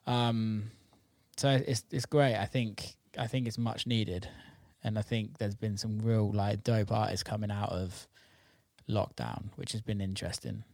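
A man's voice: lowish voice, steady tone, measured pace, creaky, a little mellow, no echo. A man hosting a casual interview, chatting informally with plenty of slang and swearing. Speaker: interviewer and host. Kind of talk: casual chat.

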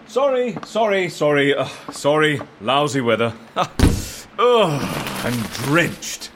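Animated, higher-voiced male boss. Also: slow pace, noisy background, exaggerated voice. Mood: exasperated. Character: brisk, inquisitive boss of hired toughs, wry and playful. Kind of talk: audio drama acting.

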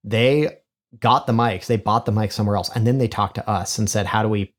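A man's voice: lowish voice, fairly even intonation, a little fast. Nasal, honky-voiced man explaining. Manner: chatty, explaining, self-deprecating. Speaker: podcast guest, microphone designer. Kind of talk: casual interview chat. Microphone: studio condenser mic in figure-eight pattern.

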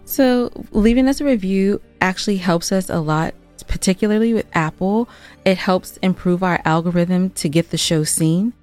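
A woman's voice: lowish voice, moderate pace, a little mellow, soft background music. A woman co-hosting a podcast, talking casually and earnestly to listeners with an emphatic, playful streak. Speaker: podcast co-host and producer.

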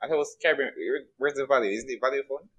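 A man's voice: mid-range voice, racing, fairly bright tone, muffled recording. A Jamaican man co-hosting a podcast, talking casually and colloquially about finance, with opinions of his own. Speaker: podcast co-host reviewing stocks. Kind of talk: casual unscripted financial chat.

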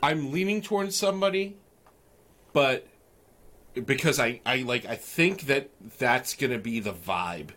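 A man's voice: mid-range voice, lively intonation, a little slow, sharp tone. A man co-hosting a casual podcast, rambling and full of restarts as he reads sponsors and chats with a guest. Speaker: podcast co-host. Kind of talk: casual chat.

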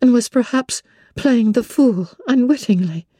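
A woman narrating classic literature in a measured, expressive storytelling manner. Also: fairly high pitch, husky, no room sound, clean studio sound.

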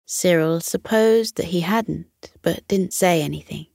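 A woman narrating a scripted children's bedtime story in a gentle, soothing manner. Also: on the low side, unhurried, gravelly, dry recording, studio quality.

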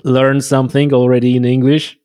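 A man's voice: medium pitch, little pitch movement, brisk, mellow. Rambling male podcast host. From Poland. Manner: enthusiastic, rambling, many restarts. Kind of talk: conversational interview.

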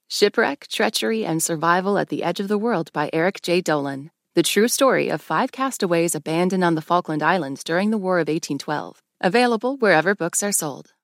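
Creaky, lowish woman announcer. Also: animated, a little fast, few pauses, no echo, clean studio sound. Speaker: sponsor announcer. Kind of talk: scripted ad read.

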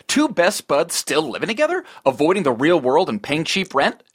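A man playing an excitable, immature college party-loving roommate in his twenties, brash and exclaiming, in a sitcom table read. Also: a little high, moderate pace, slightly rough, heavily acted. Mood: upbeat, excited.

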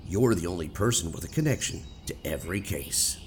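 Man acting a stern, low-voiced detective with swooping delivery. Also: animated, a little fast, a little hiss or hum. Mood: stern, accusing. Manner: dramatic, accusatory, interrogating. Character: stern, accusing male police detective making an arrest. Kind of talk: radio theater acting.

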